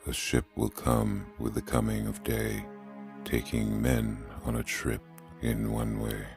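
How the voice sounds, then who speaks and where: An actor playing a solemn male reciter, reading love poetry in a measured way for an audio drama. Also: rumbling low, flat delivery, creaky, music in the background, exaggerated voice. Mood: solemn, mournful.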